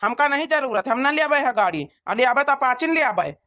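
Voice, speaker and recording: A woman's voice: high-pitched, lively intonation, fairly quick, fairly bright tone. A woman voicing a stubborn, demanding young village bridegroom in a comedy cartoon, sulky and insistent, on lo-fi audio. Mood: sulky, defiant. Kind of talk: amateur comedy cartoon dialogue.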